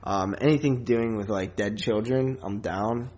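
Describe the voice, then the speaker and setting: A man's voice: lowish voice, unhurried, darkish tone. A man co-hosting a podcast, casually reviewing a film in a rambling, loose way with plenty of filler and some swearing. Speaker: podcast co-host.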